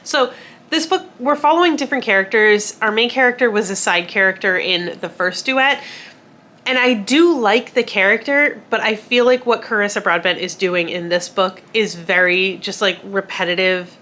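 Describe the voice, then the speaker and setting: Bright-voiced woman with a stuffy nose. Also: mid-range voice, moderate pace. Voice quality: nasal. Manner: chatty and self-deprecating, with frequent fillers and laughs. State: sick, nasal congestion. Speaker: book vlogger. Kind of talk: casual chat to camera.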